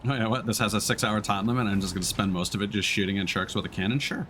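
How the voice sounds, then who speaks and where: A man giving casual let's-play commentary as he streams a game, thinking aloud in a halting way. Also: lowish voice, background noise. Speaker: streamer playing a video game.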